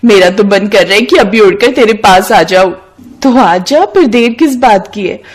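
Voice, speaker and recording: A woman's voice: medium pitch, moderate pace, natural voice. A woman voicing a cunning, bossy young city woman who pushes and persuades her friend in a cartoon moral story. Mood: excited. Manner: pushy, scolding, persuasive.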